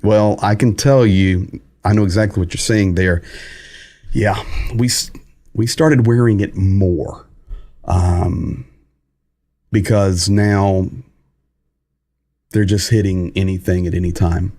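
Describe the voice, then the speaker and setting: A man hosting a live war update stream, talking casually to viewers in halting, pause-filled phrases and answering chat comments. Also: low voice, fairly even intonation, warm tone. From United States. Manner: conversational, halting phrasing with many pauses and restarts; greets viewers and reads chat comments aloud.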